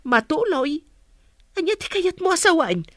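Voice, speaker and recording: A woman's voice: very high voice, big pitch swings, a little slow, fairly bright tone, no echo, heavily acted. An actress with a Filipino accent playing a suspicious, nagging older mother who questions and scolds, in a radio drama. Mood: exasperated. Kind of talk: Ilocano radio drama acting.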